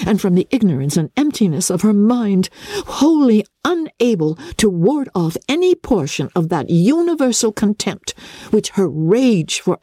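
Earnest young gentlewoman, played by a woman narrator: raised pitch, indignant, urgently emphatic, storybook drama. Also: no room sound, clean studio sound. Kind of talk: dramatic classic novel reading.

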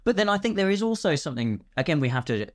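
A British man talking casually as a podcast guest, with an expressive way of telling things. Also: on the high side, rapid-fire, no echo, studio quality. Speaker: podcast guest, filmmaker and voice actor. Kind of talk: casual conversational interview.

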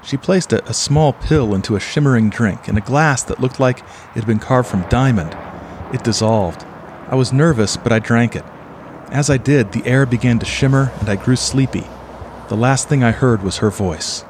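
A man's voice: mid-range voice, moderate pace, darkish tone, a little hiss or hum, lightly acted. A man playing an earnest, naive, slightly hesitant motel UFO believer in an audio play. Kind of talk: audio play acting and first-person narration.

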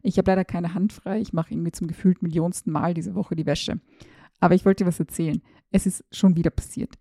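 Gravelly, flat-voiced woman. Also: fairly low pitch, no echo, clean studio sound. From former Yugoslavia, raised in Austria. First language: Serbo-Croatian. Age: 30s. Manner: chatty and self-ironic, hesitant fillers. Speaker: podcast host, journalist. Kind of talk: casual personal monologue, like a voice message.

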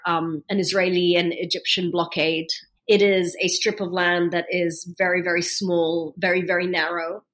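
Woman journalist with a British accent. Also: fairly low pitch. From born in London. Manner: animated, repeats words while thinking. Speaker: guest author and journalist. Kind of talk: conversational interview.

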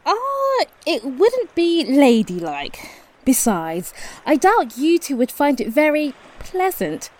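Woman playing a high-voiced, prim young sorceress. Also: wildly swooping pitch, exaggerated voice. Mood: prim, teasing. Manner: ladylike, deliberate. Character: prim, earnest young female spellcaster, gently teasing. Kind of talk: audio drama acting.

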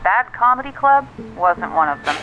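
A woman's voice: medium pitch, sing-song, normal speed, slight background noise. Dry-witted woman, dull phone line. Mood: dry, concerned. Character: frank, dry-witted woman friend delivering bad news. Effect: phone filter. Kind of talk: naturalistic audio drama phone conversation.

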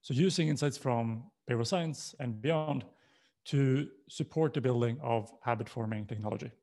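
A man's voice: mid-range voice, normal speed, vocal fry, mellow. A man with a Swedish accent presenting a talk in an explanatory manner, posing questions as he goes. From Sweden. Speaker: presenter, coaching co-founder.

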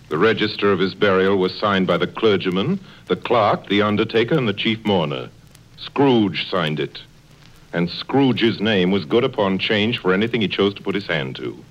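A man narrating a classic storybook tale dramatically, with wry emphasis. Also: low-pitched. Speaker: story reader. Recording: dull, limited-band recording.

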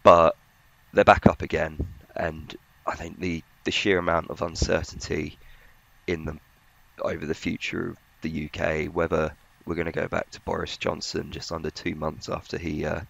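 A man giving conversational market analysis as a financial journalist, in a hesitant, halting way. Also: a bass voice, level tone, creaky, a bit bright, muffled recording.

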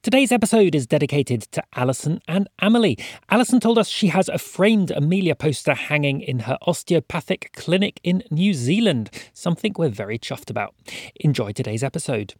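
A man delivers scripted podcast announcements. His voice sits a little high with lively movement, recorded very dry and close. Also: brisk, no room sound. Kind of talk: scripted sponsor read and dedication.

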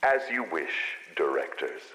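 Sharp-voiced defiant executor in an echoing hall. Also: male voice, rumbling low, very animated, a little slow, heavily acted. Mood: firm, defiant. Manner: blunt, formal, defiant. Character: embattled executor, defiant, weary, protective of his people. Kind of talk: dystopian audio drama acting. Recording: echoey hall with long reverb.

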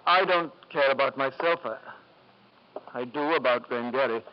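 A man's voice: medium pitch, moderate pace, close to an everyday voice. An actor playing a principled, earnest schoolmaster in a 1950s radio drama, formal and hesitant in his delivery. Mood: distressed, pleading. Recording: muffled 1950s radio broadcast.

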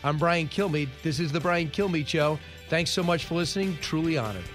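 A radio talk host delivers headlines in a casual monologue, tossing off sarcastic one-liners between stories. His voice sits a bit high for a man. Faint music plays under him in the studio. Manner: wry asides, quick opinions, occasional stumbles. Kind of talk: casual news-commentary monologue.

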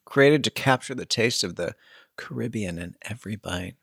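A man co-hosting a podcast from a basement lounge, tossing out deadpan quips. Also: lowish voice, normal speed, creaky, breathy edge, no echo, studio quality. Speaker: podcast co-host. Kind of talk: casual chat. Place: home basement tiki lounge.

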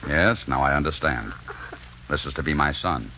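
A man's voice: a bass voice, normal speed, sharp tone, full character voice. An actor playing a brash, devoted romantic leading man who also narrates, in a 1940s radio drama. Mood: warm, reassuring. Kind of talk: 1940s radio acting with first-person narration. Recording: muffled old radio recording, noisy.

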